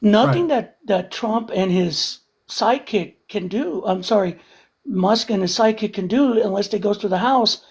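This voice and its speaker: Male guest, husky voice, heated and profane. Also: high voice, normal speed, darkish tone. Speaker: regular guest. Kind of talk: casual live-stream chat.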